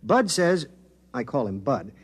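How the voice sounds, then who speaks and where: An earnest 1950s linguist. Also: male voice, mid-range voice, big pitch swings, unhurried, breathy edge. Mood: explaining. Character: Nathan, eager male linguist in contact with aliens. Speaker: linguist briefing the press. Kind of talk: 1950s radio drama acting.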